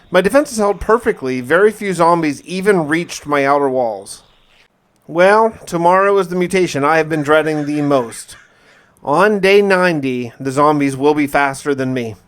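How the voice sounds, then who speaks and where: Male gamer-narrator, slightly high voice, diary-style survival recap, casual yet dramatic storytelling with dry asides.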